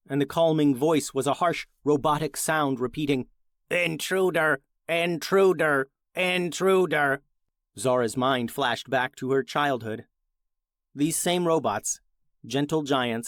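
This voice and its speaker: A man hosts a story podcast for children and narrates from a script. His voice sits at a middle pitch. The sound is dry, with hardly any room in it. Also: a little slow, dry recording.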